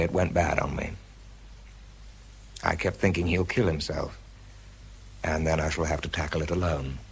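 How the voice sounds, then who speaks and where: A weary, troubled British scientist telling his own story in a radio play. The voice is deep and husky, measured and confiding. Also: full character voice. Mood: fearful, confiding. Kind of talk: dramatised first-person narration.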